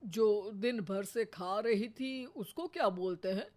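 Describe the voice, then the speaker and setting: A woman's voice: mid-range voice, takes it slowly, a little mellow, close to an everyday voice. A woman voicing a teasing older father-in-law in a cartoon story, with mocking dry humor.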